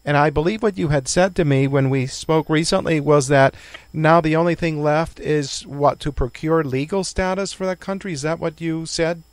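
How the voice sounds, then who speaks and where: A man hosting a radio interview who asks his questions in a formal, courteous and deliberate way. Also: fairly high pitch, no room sound. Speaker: radio show host.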